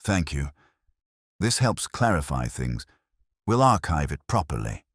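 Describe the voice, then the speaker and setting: A patient male police inspector, as a male narrator plays him, speaks in an extremely low, dry-recorded voice. He is understated and wry, measuring out each remark in an audio detective story. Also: big pitch swings, measured pace, husky, no room sound, heavily acted. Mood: thoughtful.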